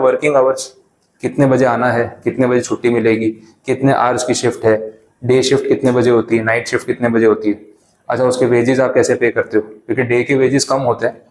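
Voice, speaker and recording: An Indian man teaches a class in a roomy, echoing space. His pitch sits in the middle range. He chats through his points with everyday asides, then slows right down to read legal wording. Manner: chatty, explains with everyday asides, reads legal text slowly. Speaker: lecturer. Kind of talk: classroom lecture, Hindi-English mix. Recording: echoey classroom.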